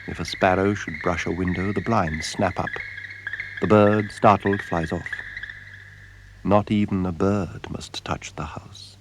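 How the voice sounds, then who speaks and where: A man narrating a radio drama in a solemn, measured way, with deliberate dramatic pauses. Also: low-pitched, fairly even intonation, low-quality recording. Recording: radio drama with music behind. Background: music.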